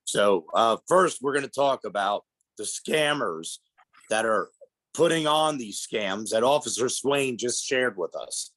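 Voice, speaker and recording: A man's voice: mid-range voice, animated, a little slow, a bit bright. A middle-aged man with a head cold, sounding congested, giving a plainspoken presentation to an audience. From Pennsylvania. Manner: plainspoken, explanatory, addresses audience as folks. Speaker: guest speaker on elder fraud. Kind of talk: public presentation.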